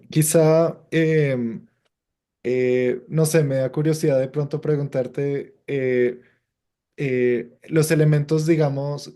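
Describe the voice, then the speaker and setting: A man with a mellow, dark-toned voice co-hosts an interview. He frames his question slowly, with pauses and fillers as he searches for the right words. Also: mid-range voice. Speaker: co-host.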